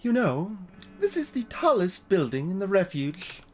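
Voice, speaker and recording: A man voicing a small, gentle robot fox from a video game, wistful and knowing, in soft, measured explanations. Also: sits high, sing-song. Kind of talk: video game character dialogue read aloud. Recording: music behind the voice.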